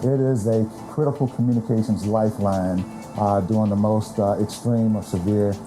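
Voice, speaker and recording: Low-voiced American official over music. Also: male voice, steady tone, rough recording. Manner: formal, measured official phrasing with pauses. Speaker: government emergency management official. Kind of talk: explanatory on-camera statement in an official video. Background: clear background music.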